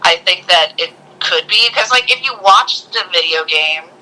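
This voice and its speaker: A woman co-hosting a casual movie discussion, talking in an excitable way and acting out little quotes. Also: on the low side, moderate pace, rough recording. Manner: excitable, acts out little quotes as she talks. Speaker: co-host of a movie review show.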